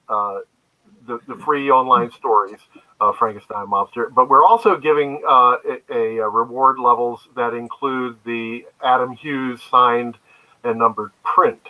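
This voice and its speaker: A man speaking as a creator guest in an interview on an online call, telling stories conversationally in a halting way. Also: medium pitch, phone-quality sound. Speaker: guest, comic book creator. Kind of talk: conversational interview answers, storytelling. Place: remote online video call.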